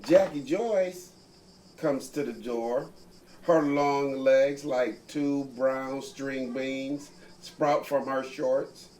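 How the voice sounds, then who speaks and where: A man reading a children's picture book aloud, taking turns with a co-reader, slowly and expressively. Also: medium pitch, steady tone, darkish tone. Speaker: co-reader of the story. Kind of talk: bedtime story reading.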